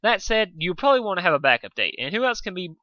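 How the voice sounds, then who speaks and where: A man's voice: high voice, sing-song, fast talker, no echo, lo-fi sound, pitched above the performer's own voice. A man voicing a brash, swaggering female cartoon pony with a cocky, wheedling delivery.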